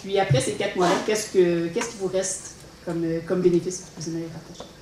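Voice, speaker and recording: A woman's voice: fairly low pitch, steady tone, fairly quick, noisy background. Woman hosting a public talk. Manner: interviewing, with some fillers. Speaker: event host, kinesiologist. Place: lecture hall. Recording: echoey hall, distant mic.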